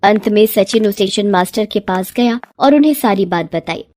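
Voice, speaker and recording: A woman narrating a scripted moral story in an expressive, dramatic storytelling manner. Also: mid-range voice, moderate pace.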